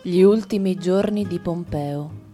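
A woman reading a literary text for radio theatre in a measured, ironic storyteller tone. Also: on the low side, mellow, soft background music. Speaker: radio drama reader.

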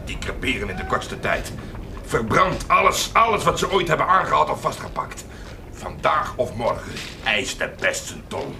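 An actor playing a blustering, suspicious military captain, imperious and threatening, in a historical radio drama. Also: male voice, mid-range voice, very animated, moderate pace, creaky, sharp tone, slight background noise, heavily acted. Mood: brusque, barking. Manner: imperious, threatening, barks orders.

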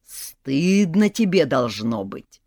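A woman voicing a scheming, fake-sweet old grandmother who is part snake, cooing and then shrill. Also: big pitch swings, takes it slowly, dry recording, studio quality, exaggerated voice. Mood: wailing. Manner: cooing, syrupy, turns to shrill wailing.

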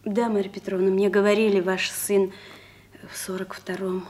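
A woman's voice: mid-range voice, little pitch movement, normal speed, darkish tone, slight background noise, close to an everyday voice. A woman playing an earnest, sympathetic younger woman in a war radio drama.

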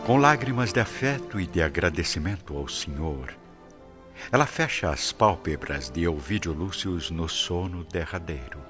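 A man with a Brazilian accent giving solemn, measured, scripted narration for a radio drama. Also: low voice. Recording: dull lo-fi recording with music bed. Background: soft music.

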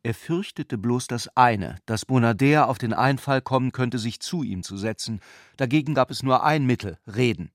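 A man's voice: medium pitch, moderate pace, dry recording. Sober male narrator in a dry studio. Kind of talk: literary narration for a radio play.

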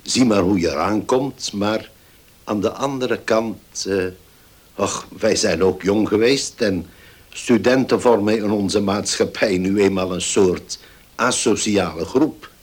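An actor playing an older, well-off father in a radio play, indulgent and gruffly dignified, weighing his words. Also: lowish voice, slow pace. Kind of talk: Dutch radio play acting.

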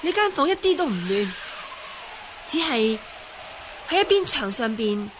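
A woman's voice: sits high, big pitch swings, unhurried, noisy background. A woman playing a respectful, earnest young heroine in a Cantonese martial-arts radio drama. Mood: explaining.

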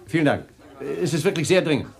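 A rattled party host. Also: male voice, fairly high pitch, big pitch swings, fast, creaky. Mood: troubled. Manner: flustered, indignant on the phone. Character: James Brent, rattled man hosting a party. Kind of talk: radio drama acting.

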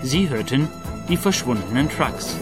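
Casting: male announcer, mid-pitched, scripted episode intro and recap for radio drama, clear music bed. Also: very animated, a little slow.

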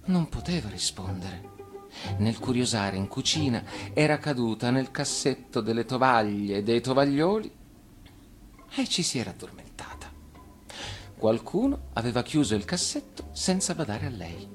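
A man reading a children's tale as storyteller, in an expressive scripted narration. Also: mid-range voice, moderate pace, faint music behind.

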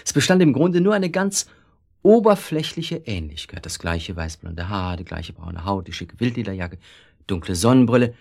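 Married city man, radio play, husky, lowish voice, sceptical, impatient, dismissive. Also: sing-song, normal speed, darkish tone, heavily acted. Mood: hesitant recollection. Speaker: actor in a radio drama. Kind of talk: naturalistic German radio drama acting.

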